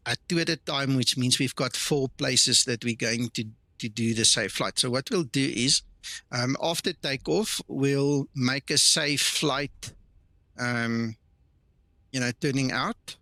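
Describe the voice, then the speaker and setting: A man's voice: medium pitch, sharp tone, no echo. A man whose first language is not English, hosting a live flight simulator stream in a casual, chatty way and greeting viewers by name. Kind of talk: live stream chat, reading and answering viewer comments.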